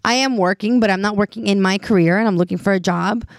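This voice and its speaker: Twenties woman co-host, earnest, word-searching, mid pitch, dead-dry close mic. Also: fast, no room sound. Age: 20s. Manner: earnest, searching for words, many restarts. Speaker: podcast co-host. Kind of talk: casual chat.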